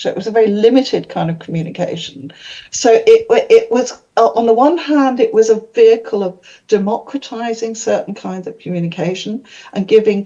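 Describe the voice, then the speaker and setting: An older woman whose first language is Welsh, speaking thoughtfully on a conference panel with halting restarts. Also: mid-range voice, dark tone, muffled recording. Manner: thoughtful, many fillers and restarts.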